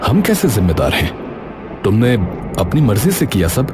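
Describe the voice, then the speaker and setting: A man's voice: lowish voice, huge pitch swings, moderate pace, over music. A man acting a distraught, indignant young father in a cartoon moral story, earnest and emotional. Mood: defensive.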